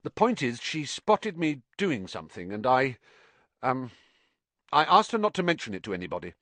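A man's voice: mid-range voice, sing-song, moderate pace, a bit bright, no echo, voice pushed up. A man voicing a prim, fussy teenage prefect who stammers when flustered.

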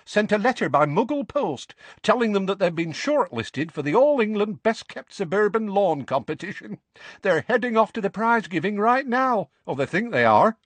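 Storybook casting: young witch, played by a man, high, animated, impish and full of cheek. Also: moderate pace, no echo. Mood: gleeful.

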